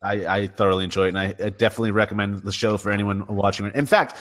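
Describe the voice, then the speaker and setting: A man hosting a live podcast chat, chatty and quick to laugh, with a stammer now and then. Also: fairly low pitch, fairly even intonation, a bit bright. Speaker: podcast host. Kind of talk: casual livestream chat.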